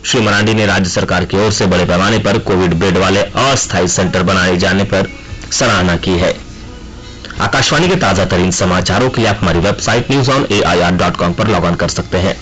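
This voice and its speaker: A man's voice: low-pitched, moderate pace, fairly bright tone, soft background music, poor audio. A man reading a formal, scripted regional news bulletin on the radio.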